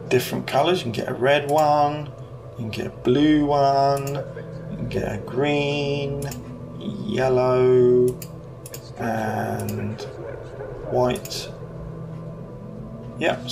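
A British man in his thirties, casually walking through his home cockpit build, thinking aloud with ums as he shopping-lists parts. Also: mid-range voice. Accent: British English. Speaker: hobbyist YouTuber. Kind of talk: casual build vlog walkthrough. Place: living room at home. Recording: clear background music under voice. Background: background music.

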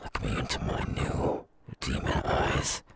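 A man playing a menacing, gloating man-eating demon in an ASMR audio roleplay, slow and sneering, with a gravelly growl that drops into breath. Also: natural voice. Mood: threatening. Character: menacing, gloating male demon who eats humans.

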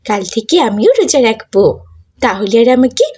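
A woman's voice: on the high side, animated, moderate pace, warm tone, breathy edge. A woman voicing a lazy, cheeky cartoon schoolgirl who whines and pleads to get out of work. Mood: grumbling. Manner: whiny, pleading, argumentative. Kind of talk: cartoon dialogue acting.

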